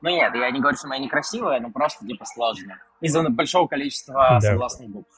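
A man's voice: medium pitch, lively intonation, fast talker, a bit bright, soft background music, low-quality recording. A man talking casually as the guest of a podcast, explaining at length with restarts. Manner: talkative, restarts and fillers while explaining. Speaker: podcast guest, film director. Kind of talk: casual conversation.

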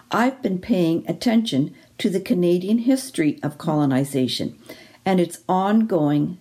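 A woman reading a written reflection aloud during a church service at a steady, even pace. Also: lowish voice, slightly rough. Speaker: worship reader.

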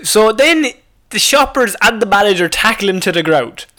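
A man's voice: sits high, animated, moderate pace, pushed and bright. An Irish man co-hosting a comedy podcast, chatting casually and jokily about odd news and prone to laughing. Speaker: podcast co-host.

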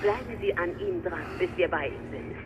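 A woman's voice: on the low side, expressive, moderate pace, muffled recording, natural voice. An actress playing a stern, urgent Victorian lady knight giving orders in an audio drama. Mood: commanding. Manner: authoritative, urgent. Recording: music bed behind the voice.

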